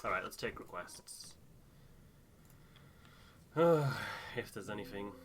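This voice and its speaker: A man's voice: fairly low pitch, fairly even intonation, slow, sharp tone, a little hiss or hum. A man chatting casually as he plays through a game and comments on it. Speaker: gamer doing a voiced playthrough. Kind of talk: casual gameplay chat.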